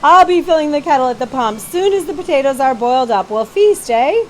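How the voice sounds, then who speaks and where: A woman's voice: high voice, lively intonation, a little fast, a little hiss or hum, voice pushed up. A woman voicing a kindly, jovial coachman with chatty, folksy talk in a children's storybook. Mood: generous, hearty. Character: hearty, kindly man, coach-driving potato seller.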